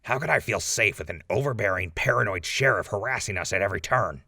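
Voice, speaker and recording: A man's voice: on the low side, expressive, quick pace, gravelly, pushed and bright, no room sound, clean studio sound, heavily acted. A man playing a defiant, outspoken ghoul defendant in a post-apocalyptic audio drama, arguing his case in an impassioned, rambling way. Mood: insistent.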